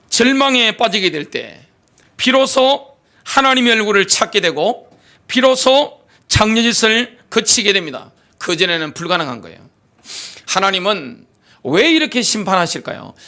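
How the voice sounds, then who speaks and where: A man's voice: high voice, sharp tone. A male pastor preaching a sermon in a church, asking rhetorical questions and stressing his points emphatically. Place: church sanctuary.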